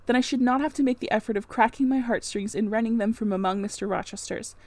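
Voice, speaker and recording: A woman reading a classic novel aloud as a weary, quiet young governess, restrained, polite and firm. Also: on the high side, fast, no echo, lightly acted. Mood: weary, sorrowful.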